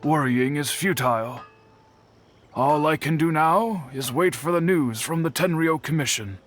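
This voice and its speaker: An actor playing a courteous, solemn middle-aged swordsmith in a video game, speaking formally and earnestly. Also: male voice, on the high side, measured pace, noisy background, close to an everyday voice. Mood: troubled, resigned.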